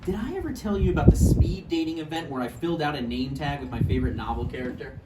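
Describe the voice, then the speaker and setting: A man acts out an anxious, needy boyfriend in a live play reading. His pitch moves animatedly as he hesitates and pleads. The sound is roomy and distant, with faint noise. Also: lively intonation, quick pace, natural voice. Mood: awkward, wistful. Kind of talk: naturalistic live play table read. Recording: echoey room, distant mic, faint noise.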